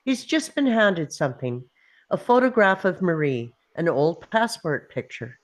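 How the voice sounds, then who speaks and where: A woman's voice: on the low side, sing-song, a little slow. A woman narrating screenplay stage directions in short, clipped, punchy phrases at a table read. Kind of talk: scripted narration of screenplay action.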